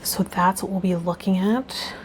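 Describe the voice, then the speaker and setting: A woman hosting a tarot vlog, reading card meanings and then talking loosely and gently about the week ahead. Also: medium pitch, fairly even intonation, moderate pace, a little hiss or hum, poor audio. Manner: loose and rambling with fillers when unscripted. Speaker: tarot vlogger.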